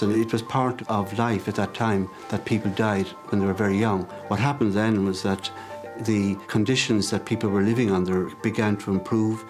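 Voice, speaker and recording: A man interviewed as an expert, explaining steadily and repeating words for emphasis. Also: fairly low pitch. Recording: music bed under voice.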